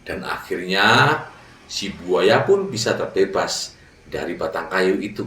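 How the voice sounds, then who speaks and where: A man narrating a fable for children, with dramatic pauses and direct address to young listeners. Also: sits low, lively intonation, bright, forceful tone. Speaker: children's storyteller. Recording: roomy echo, distant mic.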